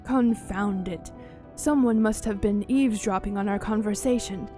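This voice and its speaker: A woman's voice: medium pitch, normal speed, mellow, soft background music, lightly acted. A woman voicing a polite, easily exasperated fantasy traveller from a video game, reading the dialogue in a formal, theatrical way. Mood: vexed, brisk.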